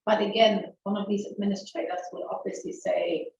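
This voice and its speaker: A middle-aged woman with a German accent gives a frank, lively webinar presentation, often restarting sentences and asking rhetorical questions. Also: mid-range voice, fairly quick, gravelly, background noise. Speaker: webinar presenter, maritime lawyer. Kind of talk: conversational legal webinar presentation. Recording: very echoey, distant, muffled webinar audio.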